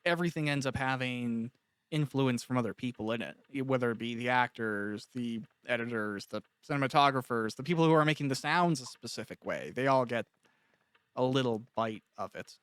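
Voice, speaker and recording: A man's voice: medium pitch, a little fast, a bit bright, clear, resonant tone, dry recording. A man hosting a casual interview, musing informally as he puts questions and follows ideas.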